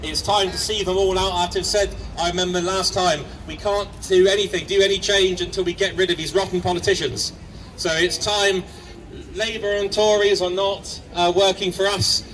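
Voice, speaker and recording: A man giving an impassioned protest speech outdoors, often pausing with fillers. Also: high voice, fairly even intonation. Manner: impassioned, hesitant with many fillers. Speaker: protest speaker. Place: outdoor street rally. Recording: distant mic, loud street noise.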